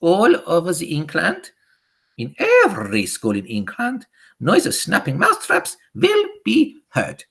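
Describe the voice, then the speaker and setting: A woman voicing a gloating, disgusting old Grand High Witch in a storybook, chanting theatrically in broken grammar. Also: huge pitch swings, measured pace, creaky, exaggerated voice. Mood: triumphant shrieking. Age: older. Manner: theatrical, chanting, ungrammatical speech. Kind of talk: theatrical storybook character reading.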